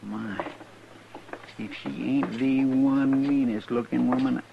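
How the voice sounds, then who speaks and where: An actor playing a folksy, deferential deputy sidekick with rustic speech in a dull, noisy vintage radio western. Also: male voice, mid-range voice, fairly even intonation, slow, creaky. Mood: wry, gossipy. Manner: drawling rustic grammar, folksy. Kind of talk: 1950s radio drama acting.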